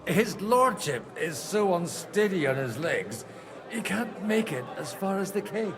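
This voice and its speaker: A wry, middle-aged medieval drinker for a game cutscene. His voice sits a little high and moves expressively, with a dry, sardonic edge and a flirtatious streak. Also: very animated, unhurried, long reverb tail, a crowd in the background, heavily acted.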